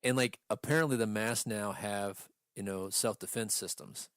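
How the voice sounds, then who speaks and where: A man's voice: lowish voice, normal speed, clean tone, dry recording. Exasperated, sweary man telling stories, close and dry. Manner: profane, exasperated, animated storytelling. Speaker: podcast host. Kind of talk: casual movie rant chat.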